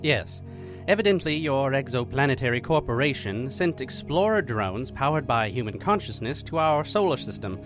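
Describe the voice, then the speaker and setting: An earnest alien spider revolutionary played by a man speaks formally in an audio drama. His pitch swings in a lively way, with clear music behind him. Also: normal speed, natural voice. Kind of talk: audio drama panel discussion.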